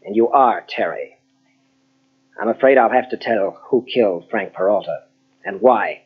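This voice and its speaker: An actor playing a grave, earnest psychologist in an old-style radio mystery, husky and deliberate, with dramatic pauses. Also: male voice, lowish voice. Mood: grave, urgent. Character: earnest, grave male psychologist confronting a killer. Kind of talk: 1940s radio mystery acting. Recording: dull lo-fi old radio tape.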